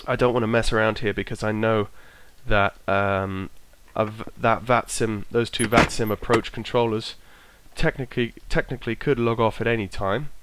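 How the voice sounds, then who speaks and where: Croaky-voiced man muttering through a checklist. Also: lowish voice, steady tone, normal speed, few pauses, fairly bright tone, slight background noise. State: croaky from a cold. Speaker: flight sim streamer. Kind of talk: casual narrated walkthrough.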